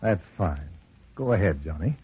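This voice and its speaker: An actor playing a courteous, formal mystery storyteller in an old-time radio drama, with measured theatrical delivery. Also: male voice, sits low, expressive, slightly rough, faint music behind, exaggerated voice. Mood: genial, formal. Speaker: host and storyteller. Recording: tinny, hissy old radio recording.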